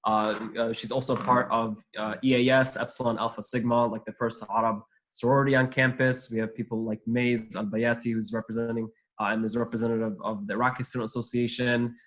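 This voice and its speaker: A young man who leads a student group talks quickly and eagerly, with many fillers. His pitch stays flat and even. He keeps going from one point to the next without pause. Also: rough recording. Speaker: student association leader. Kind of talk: panel discussion.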